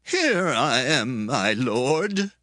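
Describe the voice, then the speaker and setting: An actor playing a humble, earnest priest of ancient times in a Bible drama, pleading deliberately with his king. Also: medium pitch, very animated, bright, forceful tone, dry recording, clean studio sound, heavily acted.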